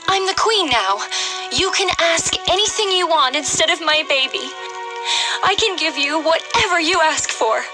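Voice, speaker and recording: A woman voicing a young queen and mother, anxious and pleading, over loud background music. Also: very high-pitched, animated, normal speed, pitched above the performer's own voice, rough recording.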